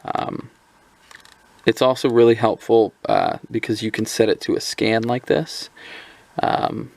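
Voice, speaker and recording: A man's voice: medium pitch, steady tone, a little slow, gravelly, darkish tone, dry recording. A man giving a casual hands-on review of a handheld radio, talking loosely with lots of ums and restarts. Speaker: product reviewer.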